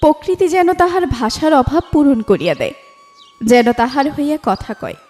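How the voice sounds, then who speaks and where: A woman's voice: high-pitched, expressive, moderate pace, a little mellow. A woman reading a literary story as a scripted narration. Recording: music bed behind the voice. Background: background music.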